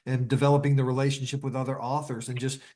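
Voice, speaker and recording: A man with a medium-pitched voice talks casually as an interview guest. He reflects in long, loosely linked phrases, often repeating a word as he goes. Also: flat delivery, fast talker, warm tone.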